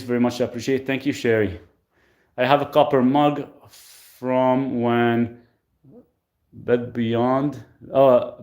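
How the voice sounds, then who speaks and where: A man from Lebanon hosting a live stream from his rural home, casually reading viewer questions aloud and answering them. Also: mid-range voice. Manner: relaxed, rambling, reads comments aloud then answers. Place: rural property, country home.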